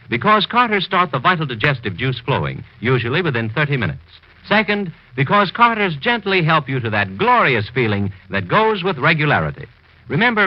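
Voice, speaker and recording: A man reading a scripted 1940s radio commercial as an announcer, with polished, emphatic salesmanship. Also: on the high side. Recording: dull old radio recording.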